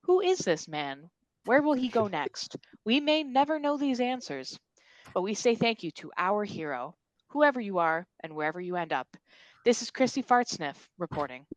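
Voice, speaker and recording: A woman's voice: mid-range voice, big pitch swings, normal speed, dry recording, natural voice, rough recording. A woman voicing a frank, absurdly solemn female TV reporter giving a mock news report in a table read. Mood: earnest.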